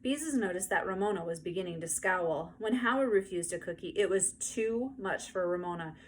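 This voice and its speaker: A woman narrating a children's book aloud in an expressive storytelling style. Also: on the low side, a little fast. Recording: faint background noise.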